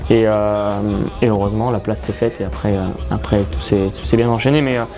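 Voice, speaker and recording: A man's voice: on the low side, fairly even intonation, a little fast, over music. A man in his thirties from France, a racing commentator, answering interview questions in an earnest, hesitant way. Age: 30s. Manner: earnest, self-described shy, frequent hesitations.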